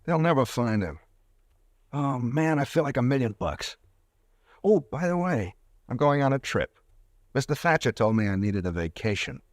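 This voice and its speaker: A man's voice: medium pitch, very animated, dry recording, clean studio sound, natural voice. A man playing a cocky young pharmacist turned killer. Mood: swaggering. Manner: swaggering, taunting, quick-shifting. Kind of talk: 1940s radio drama acting.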